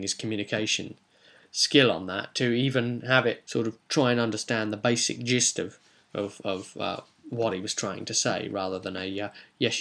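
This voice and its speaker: Guy with a croaky fry in his voice, game master chatting through a tabletop session, kinda rambly and halting. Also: on the low side, fairly bright tone. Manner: rambling, hesitant, self-correcting. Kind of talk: casual vlog recap of a tabletop game session.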